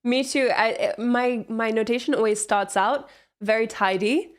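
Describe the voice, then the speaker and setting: A woman's voice: fairly high pitch, moderate pace. A woman commentating on a chess game, chatty and explaining moves with light laughs.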